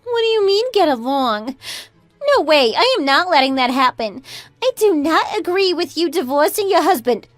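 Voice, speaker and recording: Woman acting high, swoopy, entitled younger sister-in-law. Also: sing-song, moderate pace, dry recording, soft background music. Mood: outraged, protesting. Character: entitled, self-serving youngest daughter-in-law, easily flustered. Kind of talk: scripted radio drama acting.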